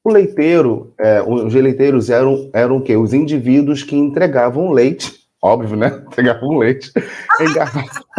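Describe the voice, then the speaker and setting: A man's voice: mid-range voice, a little slow, a little mellow. A Brazilian man co-hosting a casual chat, playful and jokey, reading facts and adding his own sound effects. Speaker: co-host.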